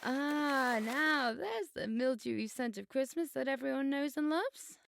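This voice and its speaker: A woman playing a bossy, sardonic, excitable schoolgirl in an audio drama, with a theatrical, sarcastic manner. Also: high voice, a little slow, creaky, slight background noise, exaggerated voice. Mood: wry, dismissive.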